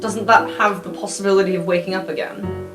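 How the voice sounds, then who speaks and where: A woman playing a polite, thoughtful student mage, speaking in a halting, tentative way. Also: mid-range voice, steady tone, pitched below the performer's own voice, lightly acted. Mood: uncertain. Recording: roomy sound with music behind.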